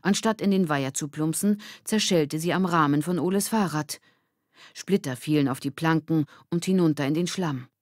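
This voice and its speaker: A woman's voice: low-pitched, moderate pace, darkish tone, dry recording, clean studio sound. A woman reading a children's novel aloud as scripted audiobook narration.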